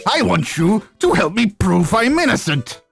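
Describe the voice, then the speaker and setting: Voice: medium pitch, sing-song, moderate pace, creaky, fairly bright tone, faint music behind. An amateur dubber voicing an angry, pompous male surgeon who rants indignantly in a video game. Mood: defensive, indignant. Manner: indignant ranting with exclamations. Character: angry, pompous, self-important male surgeon, easily outraged.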